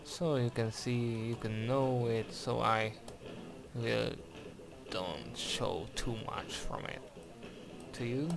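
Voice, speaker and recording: Slow, gravelly Hungarian-accented man. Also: mid-range voice. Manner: hesitant, many fillers and restarts, careful non-native English. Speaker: game reviewer. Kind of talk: gameplay commentary and review. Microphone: voice-over over game footage.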